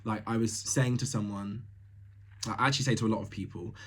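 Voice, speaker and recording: A man's voice: lowish voice, steady tone, a little fast, a bit bright, slightly rough. A young man from Tanzania hosting a solo podcast, talking casually and bluntly, laughing often with lots of fillers. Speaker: podcast host.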